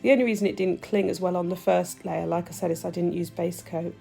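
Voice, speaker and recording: A woman with a stuffy, nasal voice, chatting warmly through a nail tutorial as she works. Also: fairly low pitch, fairly even intonation, quick pace, vocal fry, soft background music. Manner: chatty, gushing, talks while working. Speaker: nail technician demonstrating gel polish. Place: home nail studio.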